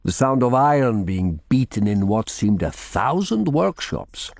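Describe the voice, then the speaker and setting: A man voicing a gentle, grave monk in an audiobook, with a measured manner. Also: mid-range voice, very animated, gravelly, dry recording, clean studio sound, voice pushed up. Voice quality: lisping. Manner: slow, soft, measured. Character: gentle, grave foreign monk with dark face.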